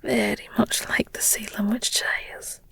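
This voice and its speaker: A woman playing an affectionate, candid werewolf girlfriend in an intimate ASMR audio roleplay, talking in a soft, hesitant, breathy whisper with gently teasing, wry asides. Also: mid-range voice, steady tone, measured pace, no echo, heavily acted. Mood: hushed, explanatory.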